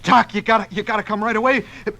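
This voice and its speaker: A man's voice: high voice, steady tone, fast talker, bright, forceful tone. An actor playing a frantic rural American man in a 1950s radio drama, stammering breathlessly and repeating himself with urgency. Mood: frantic, alarmed. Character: frantic rural man fetching the country doctor at night.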